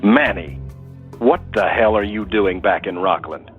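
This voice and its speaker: An actor playing a guarded, easily riled wealthy older brother in an audio soap opera, curt and dismissive. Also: male voice, sits low, unhurried, faint music behind. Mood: cold, irritated. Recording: narrow, phone-like sound.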